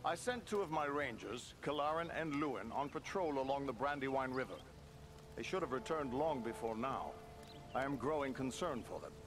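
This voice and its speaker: A man playing a dependable ranger captain in a fantasy game, speaking in a grave, measured, formal manner. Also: medium pitch, lively intonation, close to an everyday voice. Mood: grave counsel. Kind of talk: fantasy video game cutscene acting. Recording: music underneath.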